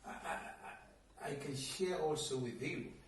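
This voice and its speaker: A man's voice: a little high, a little slow. A man from Nigeria hosting a TV interview, asking rambling, hesitant questions full of restarts. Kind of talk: conversational interview. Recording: echoey room, mic at a distance, faint noise.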